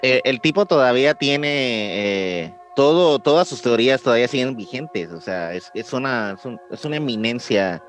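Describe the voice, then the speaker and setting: A man with a Mexican accent co-hosting a podcast in casual chat, relaxed and agreeable. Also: mid-range voice, moderate pace, hardly any pauses, soft background music. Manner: relaxed, agreeing, brief interjections. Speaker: podcast co-host.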